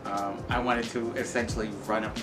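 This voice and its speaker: A man's voice: on the low side, little pitch movement, fairly quick. A man giving informal, candid interview answers in a hesitant, rephrasing way. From El Salvador until age seven, then New York state, US. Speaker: community center workforce and tech staffer, interviewee. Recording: echoey room with loud music bed. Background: loud music.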